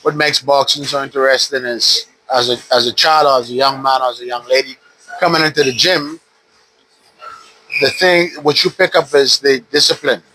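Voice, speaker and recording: A man in his fifties from the Bahamas, a retired boxer calmly telling his story in an interview at a boxing gym. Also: medium pitch, moderate pace, rough recording. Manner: relaxed, steady storytelling with frequent "uh". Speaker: retired pro boxer, guest. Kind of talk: casual interview.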